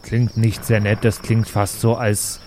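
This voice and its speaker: A man's voice: fairly low pitch, little pitch movement, a little slow, darkish tone. A man playing in an improvised tabletop role-playing game, chatting casually and speaking for his character. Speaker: tabletop role-play player. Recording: clear background noise, chirping night ambience.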